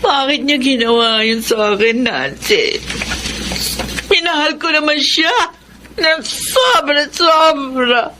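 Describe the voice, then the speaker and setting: A woman's voice: high-pitched, expressive, slow, background noise. A woman playing a lovestruck, stubborn young woman in an emotional Filipino radio drama. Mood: bitter, tearful. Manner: emotional, melodramatic, defensive.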